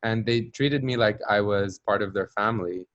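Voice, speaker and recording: Reflective low-voiced American man, dry sound. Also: normal speed, a bit bright, no room sound, dull audio. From Palestinian diaspora. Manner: reflective, searching for words, frequent fillers. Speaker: interview guest, journalist. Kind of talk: conversational storytelling.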